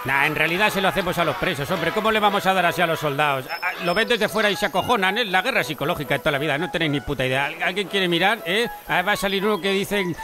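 Man playing a high-pitched, chummy supreme leader. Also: racing, hardly any pauses, close to an everyday voice. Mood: casual bossy. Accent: Spain. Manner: colloquial, rambling, swearing casually. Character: folksy, foul-mouthed supreme military leader, laid-back and chummy. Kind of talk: comedic parody dub. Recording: music behind the voice.

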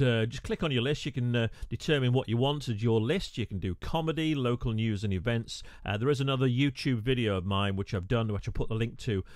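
Radio presenter, male, mid-pitched, casual tutorial talk, dry close mic. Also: no echo. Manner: chatty, wry asides, frequent "uh" fillers. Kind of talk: screen-recorded tutorial narration.

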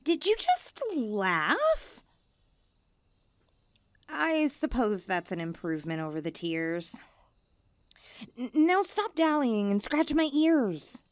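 A woman's voice: a little high, huge pitch swings, slow pace, pauses often, no room sound, heavily acted. A woman playing a haughty, needy young stray catgirl, blunt and cutesy, in an ASMR comfort roleplay. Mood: smug, coaxing.

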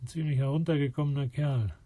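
Radio play: actor as gruff, tired skeptic, deadpan short lines, strongly raspy voice, close dry sound. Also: male voice, mid-range voice, fast, no room sound, natural voice. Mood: dry, wry. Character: tired, skeptical male companion, gruff and laconic.

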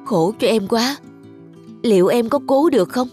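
A man's voice: very high voice, lively intonation, moderate pace, a little mellow, faint music behind. A man voicing an anxious, devoted young husband in a cartoon, emotional and pleading. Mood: worried. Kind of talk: cartoon dub acting.

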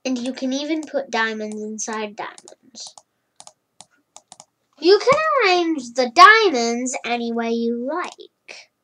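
A child narrating a homemade number-block animation in a slow, wondering way, with many hums and murmurs. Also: mid-range voice, expressive, bright, forceful tone.

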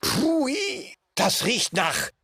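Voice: mid-range voice, huge pitch swings, extremely slow, background noise, full character voice. A performer voicing a jovial, indulgent old grandfather pig in a cartoon, hearty and theatrical, with faint music behind.

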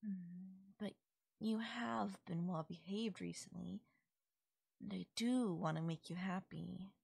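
A sweetly controlling fairy woman, acted by a voice actress for an intimate audio roleplay. Her voice is husky and close, with a crackle of fry and a very dry sound. She coaxes and teases with doting, possessive affection. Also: on the low side, slow, no echo, heavily acted. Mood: playful, coaxing. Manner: coaxing, affectionate, softly teasing. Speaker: voice actor. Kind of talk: intimate audio roleplay, ASMR-style acting.

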